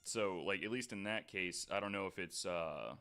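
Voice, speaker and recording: A man's voice: deep voice, moderate pace, bright, forceful tone, smooth. A man hosting a podcast, chatting casually and then reading researched notes aloud, hesitant with frequent ums and restarts. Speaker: podcast host.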